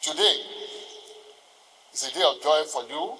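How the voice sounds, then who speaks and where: A man's voice: fairly high pitch, sharp tone. Older Nigerian man orating in a reverberant hall. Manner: oratorical, exhorting, deliberate with emphatic pauses. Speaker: senior lawyer addressing a ceremony. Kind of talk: formal ceremonial speech. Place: large indoor courtroom. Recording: reverberant hall with long echo.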